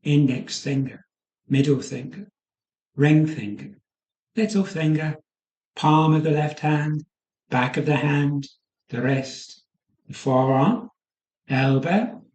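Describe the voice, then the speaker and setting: A man leads a guided relaxation in a soothing way. His voice sits a little higher than average for a man, and he speaks very slowly, letting short phrases settle. The recording has a clear roomy echo around the voice. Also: a little mellow. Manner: soothing, unhurried instruction with trailing pauses. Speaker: yoga nidra guide. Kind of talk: guided meditation.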